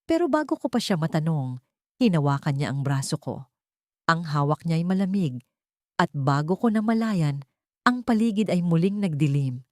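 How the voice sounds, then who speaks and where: A woman's voice: sits low, big pitch swings, moderate pace, no echo, clean studio sound. A woman reading a scripted first-person suspense story as its narrator.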